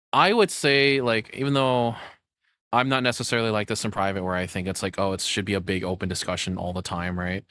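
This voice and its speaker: A man's voice: fairly low pitch, fairly bright tone, no room sound, clean studio sound. A man hosting a reaction video with informal, rambling, unscripted commentary.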